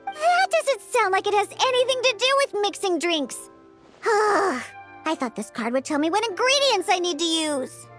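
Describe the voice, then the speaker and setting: Flustered young game girl, very high voice, lively swooping intonation, excitable, chatty. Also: measured pace, soft background music, exaggerated voice. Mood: flustered, indignant. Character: earnest, flustered, high-voiced girl who mixes drinks. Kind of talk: animated video game voice acting.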